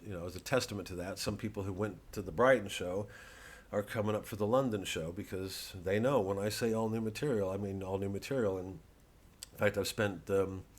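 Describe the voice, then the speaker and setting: A man giving a rambling, digressive comedian's monologue, halting and sardonic, peppered with casual filler phrases. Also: lowish voice, steady tone. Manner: sardonic, mocking, profane when worked up. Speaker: comedian promoting his show.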